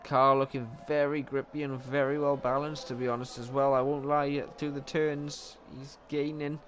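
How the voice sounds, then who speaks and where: A man's voice: medium pitch, normal speed. A young Welsh man giving live, chatty commentary on an online racing league, often joking at his own expense and correcting himself. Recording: steady background noise.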